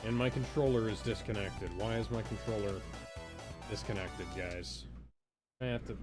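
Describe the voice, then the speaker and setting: A man's voice: fairly low pitch, moderate pace, over music. Swearing young man grumbling over a game. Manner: grumbling, swears often, reads menu items aloud. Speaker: podcast co-host and gamer. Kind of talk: casual gaming stream chat.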